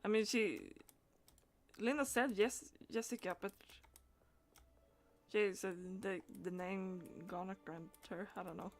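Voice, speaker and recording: A woman's voice: medium pitch, steady tone, a little slow, soft background music. A woman doing casual live game commentary, rambling and giggly as she reacts aloud to what happens on screen. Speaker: game streamer.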